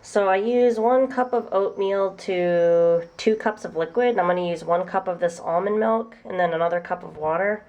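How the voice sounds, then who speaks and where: A woman's voice: mid-range voice, moderate pace, few pauses, a little mellow, muffled recording. A young woman with a congested, stuffy voice, chatting casually and upbeat while filming a cooking vlog in a small apartment. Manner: chatty, upbeat, laughs often. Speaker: vlogger. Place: small apartment room and kitchen, indoors.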